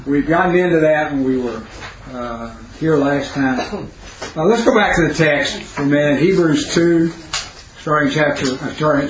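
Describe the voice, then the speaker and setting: A man with a raspy, hoarse voice teaching a Bible class, pausing to quiz his students. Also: a little high. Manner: halting, questioning teacher who pauses to quiz the class. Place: classroom, indoors. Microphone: recorder set near the speaker. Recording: roomy classroom recording, faint noise.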